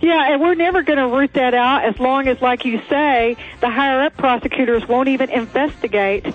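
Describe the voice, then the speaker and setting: A woman's voice: high voice, a little fast, soft background music. A woman with a Texas accent, hosting a radio talk show and interviewing guests in a folksy, plain-spoken way. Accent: Texan. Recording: tinny phone-like radio audio.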